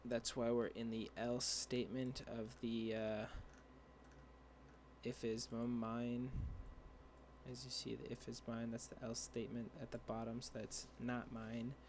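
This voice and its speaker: A young man explaining a coding tutorial over a screen share, rambling and halting with many fillers and restarts, his voice dry. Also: mid-range voice, completely flat delivery, a little mellow, slight background noise. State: dry voice, clearing throat. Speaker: tutorial creator.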